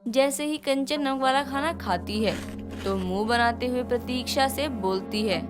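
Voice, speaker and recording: A woman's voice: fairly high pitch, normal speed. A woman reading scripted narration as the storyteller of a family tale. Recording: music behind the voice.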